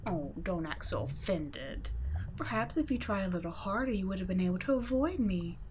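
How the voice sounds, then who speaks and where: A woman's voice: mid-range voice, expressive, moderate pace, a little hiss or hum, close to an everyday voice. A woman voicing a cool, condescending female vampire scientist in an ASMR audio roleplay, speaking in a teasing, patronizing way and slipping into whispers. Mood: mocking. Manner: teasing, patronizing, soft-spoken with whispered asides.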